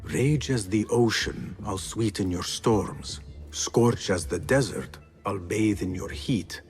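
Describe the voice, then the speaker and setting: An actor playing an earnest, driven young assassin apprentice in a video game, speaking with intense solemnity. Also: male voice, lowish voice, takes it slowly, darkish tone, faint music behind. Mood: wary, brooding. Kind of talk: dramatic scripted dialogue.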